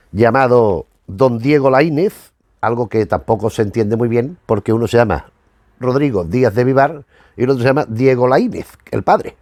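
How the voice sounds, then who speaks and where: A man's voice: mid-range voice, a little mellow, no room sound. A middle-aged man with a Spanish accent from Spain, giving unscripted commentary in a rambling, digressive, self-correcting way. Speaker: host of a retro television review channel.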